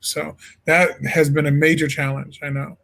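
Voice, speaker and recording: A man's voice: on the high side, normal speed, vocal fry. A man from Illinois, a jazz singer, chatting expressively as an interview guest. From Peoria, Illinois. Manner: expressive, emphatic storyteller. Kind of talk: casual interview chat.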